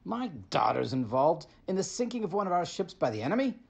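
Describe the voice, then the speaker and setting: A man's voice: sits high, very animated, a little fast, gravelly, slightly rough, exaggerated voice. An actor playing a folksy, protective older police inspector and father in an old-time radio drama. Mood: puzzled, blunt.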